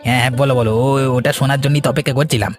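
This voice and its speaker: A man's voice: medium pitch, fairly even intonation, rapid-fire, close to an everyday voice. A man voicing a sly, scheming village man in a cartoon, eager and conspiratorial, with faint music behind. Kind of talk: Bengali cartoon voice acting.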